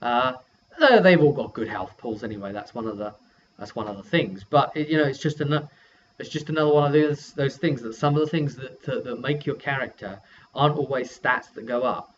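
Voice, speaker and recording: A man's voice: mid-range voice, a little fast. A man who works as a game master, casually recapping a role-playing session and thinking aloud in a rambling way. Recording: dull lo-fi sound with background noise.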